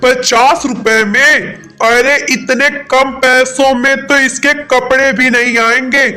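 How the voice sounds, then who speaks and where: A man's voice: very high-pitched, little pitch movement, takes it slowly, pushed and bright, soft background music, exaggerated voice. A man voicing a rich, stingy crow boss in a children's cartoon, with a haughty, theatrical manner. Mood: scornful. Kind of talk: Hindi children's cartoon dialogue.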